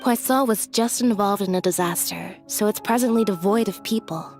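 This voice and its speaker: An actress playing a calm, reserved young woman in a video game, with deadpan delivery. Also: mid-range voice, normal speed, soft background music, close to an everyday voice. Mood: cool.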